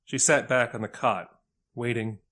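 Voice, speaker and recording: A man's voice: mid-range voice, fairly even intonation, normal speed, pushed and bright. A man narrating a scripted dramatic story as the narrator. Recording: music under the voice.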